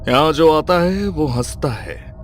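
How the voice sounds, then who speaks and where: A man's voice: on the high side, takes it slowly, faint music behind. A man playing a grave old morgue attendant in a cartoon horror story, solemn and full of warning.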